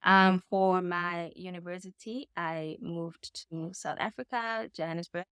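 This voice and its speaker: A woman in her thirties with a Zambian accent speaks as an interview guest. Her tone is smooth and clear, captured very dry. She answers casually and reflectively, picking her words with care. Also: fairly low pitch, fairly even intonation, a little slow, a bit bright, no room sound. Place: indoors in a home.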